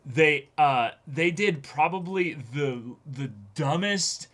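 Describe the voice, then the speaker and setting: Excitable young man, slightly high voice. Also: slow. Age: young adult. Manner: excitable, emphatic, repeats phrases. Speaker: co-host of an anime reaction show. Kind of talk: casual discussion chat. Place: small indoor room, seated before a backdrop.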